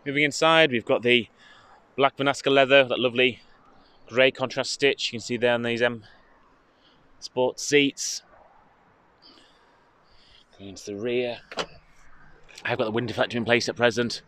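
A British man giving an informative, steady walkaround presentation as a car dealership presenter, speaking into a handheld phone outdoors. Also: medium pitch, normal speed, pauses often, slight background noise. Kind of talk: walkaround product presentation, semi-improvised commentary. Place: outdoors in a car park, partly inside a car.

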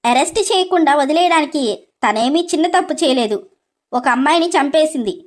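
A woman's voice: sits high, expressive, a little fast. A woman voicing an earnest, clever young woman in a story, speaking firmly. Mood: firm, reproachful.

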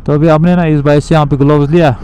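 A man's voice: on the high side, flat delivery, quick pace, warm tone, a little hiss or hum. Young man chatting from a motorbike. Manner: loose, repetitive chatter addressing viewers. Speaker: moto vlogger. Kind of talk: casual narration while riding a motorbike. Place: outdoors on a motorbike in city traffic.

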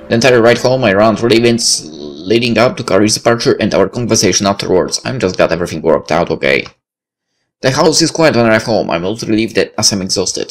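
A man reading a visual novel aloud as a gameplay host, breaking in with casual, joking, sweary asides. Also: lowish voice. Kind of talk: let's play narration with casual asides. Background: game music.

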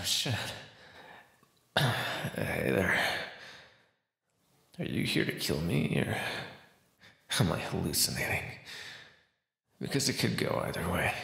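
Gravelly, starving captive vampire acted by a man. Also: sits low, sharp tone, roomy sound, exaggerated voice. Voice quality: dry-throated, gravelly. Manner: wry, rambling, sweary, halting. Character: starving captive male vampire, sardonic, desperate and predatory. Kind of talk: ASMR roleplay acting.

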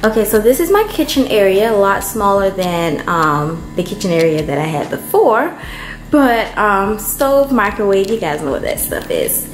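A woman vlogger giving a casual, unhurried walkthrough of an empty apartment, filmed on a handheld camera. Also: medium pitch, sing-song, slight background noise, poor audio. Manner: unhurried, chatty, many ums. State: hot and worn out from moving.

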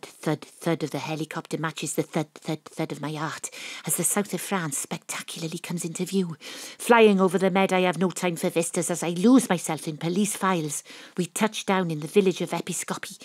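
A woman's voice: fairly low pitch, steady tone, moderate pace, hardly any pauses, slightly rough, no echo. A woman playing a determined, wry Welsh private detective, acting and narrating in a noir audio drama. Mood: hushed, wry.

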